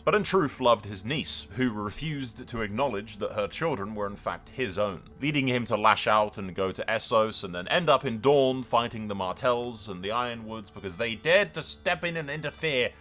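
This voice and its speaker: A man hosting a game roleplay series, telling the story as he plays in an excitable, dramatic way. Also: lowish voice, moderate pace, faint music behind. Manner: excitable, dramatic, mild swearing. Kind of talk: lively game playthrough commentary and storytelling.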